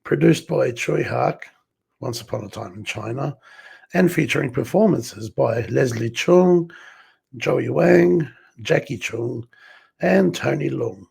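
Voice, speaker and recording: A croaky man with a very gravelly, raspy voice, clearly a little under the weather. He talks slowly and clears his throat now and then as he walks through a disc collection, reading the back covers aloud. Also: medium pitch, animated, a little mellow. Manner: slow, clears throat often. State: hoarse, a bit under the weather. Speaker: collector reviewing a film set.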